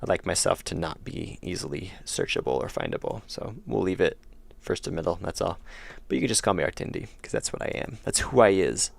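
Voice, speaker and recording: Gravelly low-voiced streamer losing his voice. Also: lively intonation, a little fast, hardly any pauses. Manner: rambling, self-correcting, laid-back. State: losing his voice, slightly ill. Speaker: indie game developer streaming. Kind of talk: casual livestream chat answering chat.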